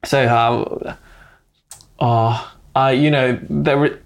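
A man's voice: medium pitch, fairly even intonation, extremely slow, creaky. A man with a Finnish accent, a food technologist guest in a casual interview, often hesitant and repeating words as he answers. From Finland.

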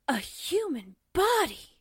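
An actress plays a scared lady sidekick in an old radio thriller. Her voice is extremely high and swoops around, with slow, breathless melodrama. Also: fairly bright tone, heavily acted. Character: frightened, breathless young newspaperwoman sidekick. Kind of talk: 1940s radio drama acting.

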